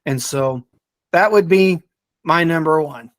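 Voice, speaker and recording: A man in a casual podcast discussion, speaking in a hesitant, halting way and often repeating words as he reviews stories. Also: a little high, no room sound.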